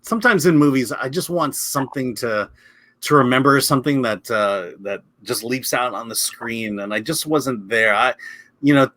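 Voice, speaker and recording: Bright-voiced man giving a halting movie review. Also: medium pitch, no echo. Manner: hesitant, halting, repeats words. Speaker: film critic co-hosting a movie review. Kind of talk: casual review chat.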